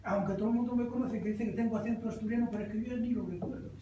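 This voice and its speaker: A woman's voice: fairly high pitch, steady tone, very fast. An older woman in the audience at a public event, chatting with the presenter in a reluctant, talkative way. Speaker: audience member. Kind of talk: informal chat at a public event. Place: cultural center hall with an audience. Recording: echoey hall from far off, noisy.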